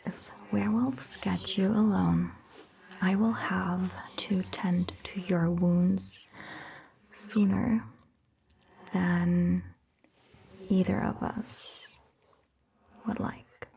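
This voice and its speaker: A woman playing a caring vampire doctor in an ASMR roleplay, whispering gently and reassuringly in a breathy, hushed voice. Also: on the low side, flat delivery, slight background noise, exaggerated voice. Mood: calm, confiding. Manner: gentle, slow, reassuring, softly questioning. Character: caring, attentive female vampire doctor tending a patient. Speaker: ASMR performer. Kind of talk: soft-spoken ASMR roleplay, mostly whispered.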